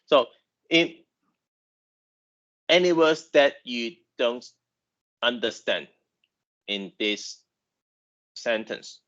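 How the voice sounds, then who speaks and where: Halting lecturer, bright lo-fi sound. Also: male voice, mid-range voice, lively intonation. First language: Chinese. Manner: halting, repeats words, many fillers. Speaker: university engineering lecturer. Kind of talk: online lecture.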